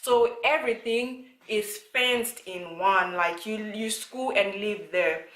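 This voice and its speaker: A woman's voice: mid-range voice, takes it slowly, fairly bright tone, roomy sound, low-quality recording. A young woman from Zimbabwe talking casually to her channel's viewers, rambling with lots of fillers and restarts. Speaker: vlogger. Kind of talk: casual talk to camera. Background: child talking in the background.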